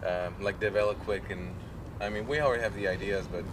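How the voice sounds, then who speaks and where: A man's voice: lowish voice, steady tone, quick pace. A man who plays in a band, talking casually in an interview in short, informal phrases. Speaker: band musician being interviewed. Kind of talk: casual chat. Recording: noisy outdoor recording, urban traffic.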